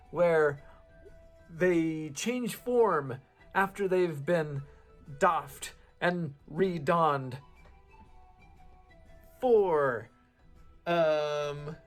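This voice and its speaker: Man as a goblin engineer, higher voice. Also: fairly bright tone, exaggerated voice. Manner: slow, halting advice-giving with pauses and fillers. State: recovering from a sore throat. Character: male goblin engineer, chatty and wary. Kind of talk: improvised tabletop roleplay monologue.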